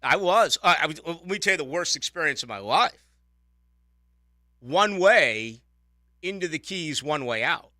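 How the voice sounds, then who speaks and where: Bright-voiced Boston radio host. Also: male voice, a little high, animated, a little slow, long pauses, no room sound, studio quality. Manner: rambling, self-correcting, jokey. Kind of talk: casual sports radio chat. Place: radio studio. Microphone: studio microphone.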